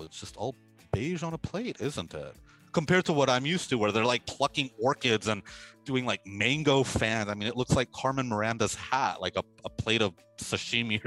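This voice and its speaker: Low-voiced man, animated and expressive. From Peru. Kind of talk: casual interview answer.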